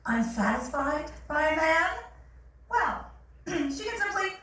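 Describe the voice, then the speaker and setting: A woman's voice: high-pitched, expressive, slow pace, a bit bright, heavily acted. A woman on stage playing a grand, mock-gracious and commanding speed-dating hostess, heard from far off in a very echoey theatre. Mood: smugly commanding. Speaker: actor in a staged reading. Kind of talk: theatrical announcement to a room. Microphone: far audience camera. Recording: very echoey theatre, distant mic.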